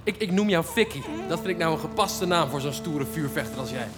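A man plays a good-hearted, earnest firefighter from a children's radio play. He speaks high and a little haltingly, stumbling over words. Music is prominent behind him. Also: animated, lightly acted. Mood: urgent, fond. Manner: hesitant, stammering. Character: kind, earnest male firefighter, gentle with his dog.

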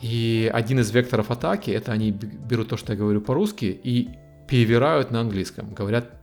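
Quick-talking man with a gravelly voice. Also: medium pitch, a little mellow, soft background music. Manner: hesitant, many fillers. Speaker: guest. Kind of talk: casual conversation. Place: living in the United States.

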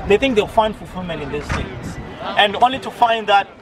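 A man's voice: high-pitched, lively intonation, moderate pace, crowd noise. A man, earnestly preaching outdoors and repeating himself often. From Zimbabwe. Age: 20s. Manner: earnest, repetitive, many restarts. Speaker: street evangelist. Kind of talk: interview answers, preaching. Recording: distant mic, loud outdoor traffic noise.

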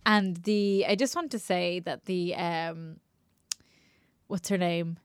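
A woman's voice: fairly low pitch, steady tone, slow pace, clear, resonant tone, no echo. A woman co-hosting a casual film review podcast, laughing often as she gushes over films and rants about actors. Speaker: podcast co-host.

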